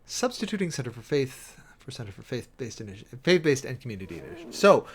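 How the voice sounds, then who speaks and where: A man's voice: mid-range voice, very animated. A man livestreaming a dramatic reading with close commentary, theatrical and emphatic, stretching words out and breaking into wry asides. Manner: theatrical, emphatic, with drawn-out words and sudden swells. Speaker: livestream host, preacher.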